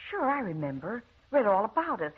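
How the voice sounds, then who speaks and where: Clara, a husky-voiced gossip. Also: female voice, mid-range voice, big pitch swings, brisk. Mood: intrigued. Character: simple, gossipy woman Clara reading the paper aloud. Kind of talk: 1940s radio drama acting. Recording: tinny, phone-like old radio recording.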